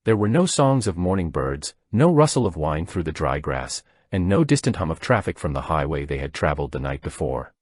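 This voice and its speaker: Male horror narrator, very deep register, lively intonation, measured dramatic storytelling, dry studio-like sound. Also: no room sound. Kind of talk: scripted narration of a slow-burn horror story.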